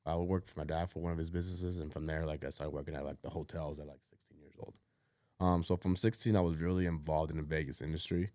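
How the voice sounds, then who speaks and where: Male guest, thirties, very low voice, laid-back, filler-heavy, drops to a mutter, dead-dry sound. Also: flat delivery, quick pace, warm tone, no room sound. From Cuba. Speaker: podcast guest. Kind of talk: casual storytelling chat.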